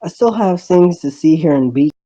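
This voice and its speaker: A narrator reading a visual novel aloud in a halting way, voicing the lines of dialogue, on a dull microphone. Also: mid-range voice, lively intonation, dark tone.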